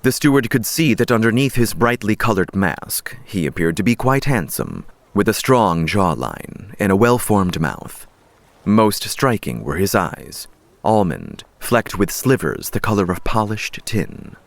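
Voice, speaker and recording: A man narrating a fiction audiobook in a measured, dramatic storytelling style. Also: fairly low pitch, very animated, vocal fry, no echo.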